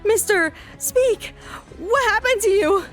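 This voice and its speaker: Woman acting as frantic young heroine, very high voice, determined, urgent, audio drama, music underneath. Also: expressive, slow pace, fairly bright tone, exaggerated voice. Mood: alarmed.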